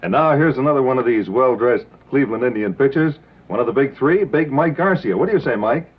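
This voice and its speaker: A man's voice: medium pitch, animated, brisk, slightly rough. An American man working as announcer and interviewer in a 1950s live television sponsor spot. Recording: dull muffled 1950s TV broadcast.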